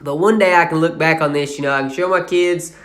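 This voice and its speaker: A young man in his twenties with an Appalachian accent talks casually over a screen recording of his investments. His voice sits a little higher than most men's, and he rambles with lots of fillers and restarts. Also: steady tone, fast talker, a bit bright. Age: 20s. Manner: rambling and casual, many fillers and self-corrections. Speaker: channel host.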